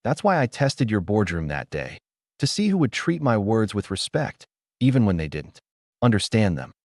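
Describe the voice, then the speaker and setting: Calm dignified rich mentor guy, man reading it, slow and steady. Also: fairly low pitch, no echo, studio quality. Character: calm, composed male billionaire mentor.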